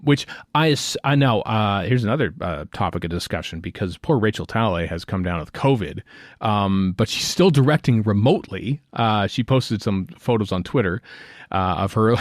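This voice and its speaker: Low-voiced man chatting on a podcast. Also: no echo, studio quality. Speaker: podcast host. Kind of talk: casual panel chat about television.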